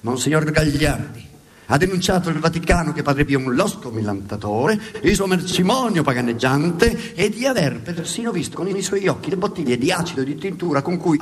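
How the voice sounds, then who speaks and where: A man's voice: fairly high pitch, sing-song, moderate pace. A man narrating a satirical theatre monologue in an emphatic, ironic way, repeating words for dramatic effect. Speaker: stage narrator. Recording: hissy radio theatre recording.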